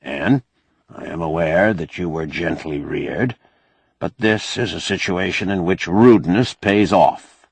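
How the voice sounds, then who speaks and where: A man's voice: deep voice, a little slow, gravelly, breathy edge, no room sound, full character voice. A man voicing a shrewd, stubborn male boss giving firm orders in an audiobook. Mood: firm, commanding.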